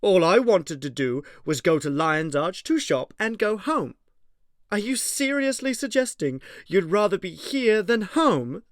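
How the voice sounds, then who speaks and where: A man's voice: sits high, very animated, a little slow, dry recording, clean studio sound. A man playing a fussy, genteel, timid plant creature in a fantasy audio drama, prim and easily flustered. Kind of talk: scripted audio drama acting.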